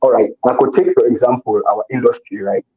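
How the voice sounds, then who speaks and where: A man talks earnestly as an interview guest, often circling back over his own words while he thinks. His voice is husky and sits at a middle pitch. The recording sounds dull and lo-fi. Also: expressive, brisk. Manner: earnest, repeats himself while searching for words. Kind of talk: casual conversational interview.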